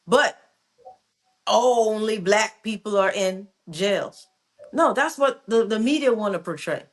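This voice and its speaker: A Jamaican-born woman co-host in an easygoing talk segment. She speaks quickly and emphatically, pressing her points home in a mid-range voice. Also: mid-range voice. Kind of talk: casual radio talk.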